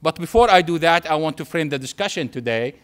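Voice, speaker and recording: Male lecturer, Syrian Arabic accent, native Arabic speaker, slightly high pitch, emphatic storytelling delivery with deliberate pauses. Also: animated, fairly bright tone. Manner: emphatic, repeats phrases, pauses for effect. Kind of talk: public lecture with anecdotes and humour.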